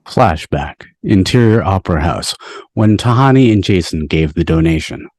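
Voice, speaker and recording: Casting: male stage-direction reader for a script table read, low voice, dry sound. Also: lively intonation, moderate pace, darkish tone, no echo. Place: home, on a video call.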